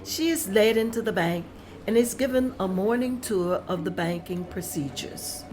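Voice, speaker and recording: A woman's voice: medium pitch, expressive, moderate pace, noisy background. A woman reading out scene directions at a script table read in a plain, descriptive manner.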